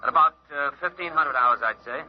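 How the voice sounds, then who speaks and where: An actor playing a businesslike, slightly awkward cruiser captain heard over a radio link in a 1950s radio drama. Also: male voice, fairly high pitch, fairly quick. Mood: businesslike, embarrassed. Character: male cruiser captain, businesslike but awkwardly taken aback. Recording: muffled, noisy vintage radio recording.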